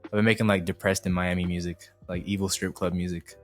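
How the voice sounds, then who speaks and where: A man's voice: low-pitched, level tone, quick pace, faint music behind. A young man, a singer and producer born in Indonesia and raised in the US, chatting casually as an interview guest in a laid-back, slangy way. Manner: laid-back slangy talk, constant "you feel me". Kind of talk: casual chat.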